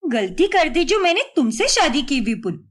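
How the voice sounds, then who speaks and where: A woman's voice: sits high, big pitch swings, moderate pace. A woman voicing a proud, spoiled young married woman who complains dramatically, for a story. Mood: indignant.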